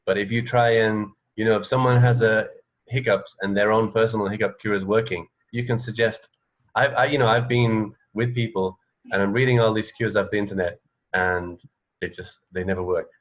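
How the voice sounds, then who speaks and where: A middle-aged man co-hosting a casual chat over a video call, haltingly restarting his sentences. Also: fairly low pitch, fairly even intonation, low-quality recording. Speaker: radio co-host. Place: indoor room at home, on a video call.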